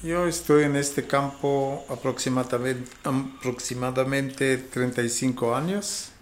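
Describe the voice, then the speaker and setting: A mature man with an Israeli accent speaks as an interview guest. His delivery is slow and halting, feeling for words in a language that is not his first, at an average male pitch. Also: fairly even intonation, a little hiss or hum. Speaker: interview guest, fruit export marketing specialist. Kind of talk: conversational interview answers.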